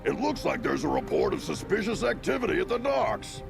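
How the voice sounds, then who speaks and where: Alert male cop hero, extremely gravelly, animated delivery, comic game cutscene. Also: high-pitched, brisk, music in the background, exaggerated voice.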